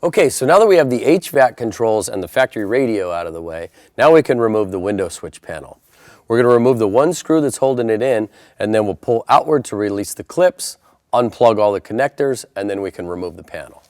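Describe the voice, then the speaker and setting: A man's voice: on the low side, animated, brisk, a little mellow, no echo, studio quality. An American man presenting a step-by-step installation tutorial in an instructional style.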